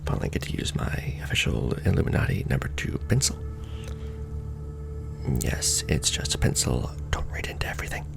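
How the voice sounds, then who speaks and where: A man playing a casual, wry secret-society intake clerk in a soft-spoken, half-whispered ASMR roleplay, deadpan and rambling. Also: rumbling low, brisk, creaky, over music, heavily acted. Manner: deadpan, rambling, mumbling to himself over paperwork. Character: casual, wry male Illuminati recruitment clerk doing intake paperwork.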